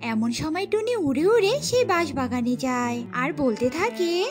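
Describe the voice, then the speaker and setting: A woman's voice: sits high, expressive, measured pace. A woman narrating a scripted children's cartoon story, with music behind her.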